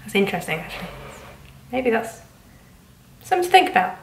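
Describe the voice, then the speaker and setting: A woman's voice: mid-range voice, big pitch swings, fairly quick, creaky, slight background noise. A young woman with a cold, chatting casually to camera in a rambling way, her voice stuffy and nasal. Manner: chatty and rambling, many ums and likes. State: congested with a cold. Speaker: vlogger. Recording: echoey room.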